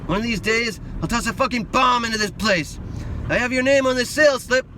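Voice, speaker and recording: A man's voice: extremely high pitch, brisk, background noise, pitched above the performer's own voice. A man voicing a paranoid, belligerent, foul-mouthed attorney on a drug spree in a dramatic audiobook reading. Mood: furious. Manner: belligerent, snapping, muttering threats. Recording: music laid loudly under the voice.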